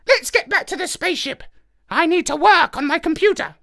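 A smug, squawky parrot genius talks down to everyone around him. The voice sits far above the narrator's own and stays loud and pushy. He has a know-it-all way of talking in a storybook reading. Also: animated, fairly quick, vocal fry, full character voice. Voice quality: squawky high put-on voice. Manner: smug, condescending. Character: sharp-tongued clever male parrot hacker.

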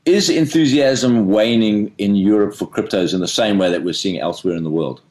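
Male interviewer, deep voice, measured and careful. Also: a little mellow. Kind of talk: interview questions and comment.

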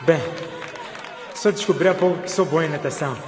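A man's voice: a little high, brisk, lightly acted. A man playing an earnest, polite teenage boy in a radio drama. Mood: proud, earnest. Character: earnest, modest teenage boy, school swimmer. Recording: echoey hall, noisy crowd behind.

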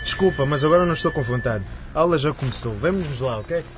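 A man's voice: a little high, lively intonation, fast talker, close to an everyday voice. A man acting in a radio drama as a scornful, boastful young student with a sneering, offhand manner. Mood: dismissive.

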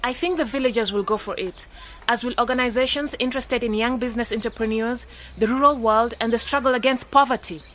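Actress as a confident young woman, highish voice. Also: fast, a bit bright, natural voice. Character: confident, supportive young woman, practical cooperative co-founder. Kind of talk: radio drama acting.

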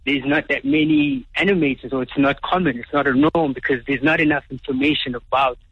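Gravelly, husky South African man. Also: medium pitch, expressive, fairly quick, dry recording, phone-quality sound. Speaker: guest, animator and studio founder. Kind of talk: casual radio interview.

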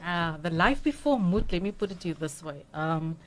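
A South African woman in her forties, a radio studio guest, telling her story nervously and haltingly with many fillers and restarts. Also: on the low side, expressive. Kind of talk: personal interview talk. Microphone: studio microphone.

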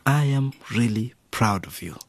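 Guy playing a soothing affectionate dad in a radio play, pitch all over, bit of background noise. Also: lively intonation, a little slow, natural voice. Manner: tender, consoling. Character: gentle, affectionate middle-aged father, proud and soothing. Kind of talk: radio drama acting.

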